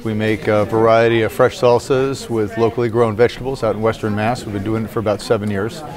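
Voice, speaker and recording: A man's voice: on the low side, flat delivery, quick pace. A middle-aged man, a salsa vendor, describing his products in a plain, matter-of-fact way at an indoor market. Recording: crowd chatter behind.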